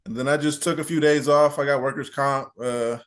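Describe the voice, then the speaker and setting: A man's voice: mid-range voice, fairly even intonation, a little fast. A man with an ordinary mid-range voice chats casually on a podcast. He tells his stories in a laid-back, easygoing way and drops short agreeing replies while others talk. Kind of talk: casual chat.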